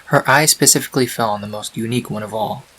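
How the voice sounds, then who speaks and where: A man narrating a scripted fan-fiction story in a measured, dramatic storytelling manner. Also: mid-range voice, fairly bright tone, husky. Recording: faint hiss on home recording.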